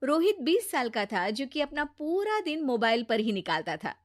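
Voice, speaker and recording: A woman storyteller reads a scripted moral tale for children. Her voice is on the high side, and the recording is dry and free of echo. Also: very animated, normal speed.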